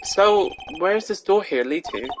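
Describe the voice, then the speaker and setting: A woman's voice: medium pitch, expressive, unhurried, background noise, pitched above the performer's own voice, close to an everyday voice. A woman voicing a wry, hesitant young male lawyer from a video game. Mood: puzzled, wary.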